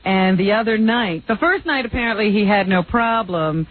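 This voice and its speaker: A woman's voice: medium pitch, expressive, moderate pace. A woman co-hosting a radio show, chatting casually, laughing often and teasing her partner. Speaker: radio news co-host. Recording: tinny phone-like radio recording.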